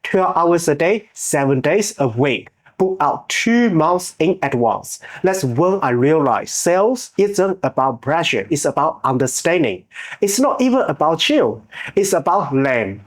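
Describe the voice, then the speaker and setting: A man's voice: on the high side, big pitch swings. Man with a Chinese accent, motivational narration. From China. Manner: earnest, measured delivery with small grammar slips. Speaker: channel host.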